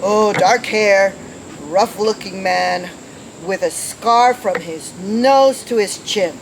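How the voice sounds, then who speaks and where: A man's voice: high voice, slow. A male actor playing a worried, formal parole official and father, earnest and polite, in an old-time radio detective drama. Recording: noisy, hissy radio play recording.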